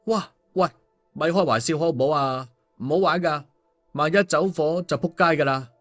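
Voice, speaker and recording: A man's voice: on the high side, normal speed, natural voice. A man voicing a glib, streetwise man in a storybook, colloquial and coaxing. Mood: startled, wary. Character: glib, streetwise man placating an angry woman. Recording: music under the voice.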